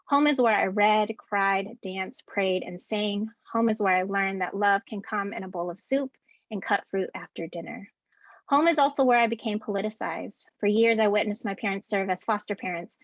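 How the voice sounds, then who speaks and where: Earnest woman giving a measured speech. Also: mid-range voice, no room sound. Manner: measured, earnest, deliberate delivery with rhythmic lists. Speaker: keynote speaker and educator. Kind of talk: prepared speech at a scholarship ceremony. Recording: dull, dry video-call audio.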